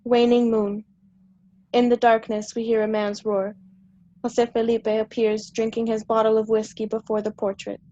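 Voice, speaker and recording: A woman's voice: on the high side, monotone, normal speed, warm tone, lo-fi sound. A woman giving scripted narration, reading out the stage directions of a play.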